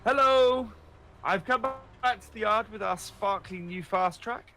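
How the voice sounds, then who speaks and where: A man's voice: high-pitched, a little slow, pushed and bright, faint music behind. A man chatting casually as a co-player in a gaming role-play, as if on a call.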